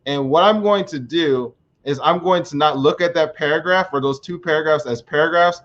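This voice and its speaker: A man's voice: a little high, normal speed, fairly bright tone, dull audio. An American man chatting casually on a live stream, earnest and rambling, with frequent restarts. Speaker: language learner vlogger. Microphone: computer mic on live stream.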